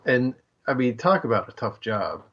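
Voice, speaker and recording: A man's voice: mid-range voice, very animated, moderate pace, fairly bright tone. A man co-hosting a podcast in casual, informal chat, repeating words for emphasis, on slightly dull lo-fi audio. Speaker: podcast co-host.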